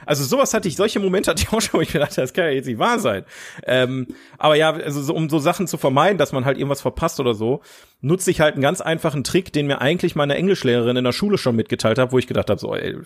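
A man's voice: fairly high pitch, animated, fast talker. A young man with a German accent chatting casually as a podcast host, enthusiastic, colloquial and free with swearing. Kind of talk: casual chat about films and series.

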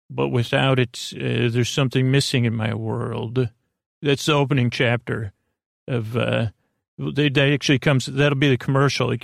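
A man with a creaky, gravelly voice hosting a bedtime sleep podcast, meandering and stumbling whimsically through halting tangents. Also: medium pitch, no echo, studio quality. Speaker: podcast host.

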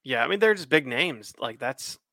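A man's voice: mid-range voice, expressive, moderate pace, sharp tone, no room sound, studio quality. A man co-hosting a podcast, easygoing and agreeable in casual chat, laughing often. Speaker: podcast co-host. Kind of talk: casual chat over beers.

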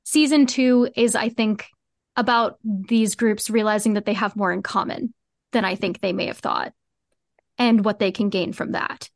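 A woman's voice: medium pitch, steady tone, normal speed, vocal fry, dry recording, clean studio sound. A woman giving earnest, reflective answers at length as a guest in a conversational podcast interview. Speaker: podcast guest, co-creator of a fiction podcast.

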